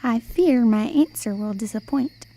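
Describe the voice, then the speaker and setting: A woman's voice: a little high, measured pace, dark tone. A young woman playing a sly, folksy old travelling storyteller in an amateur radio play. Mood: wry. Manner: folksy, old-fashioned phrasing.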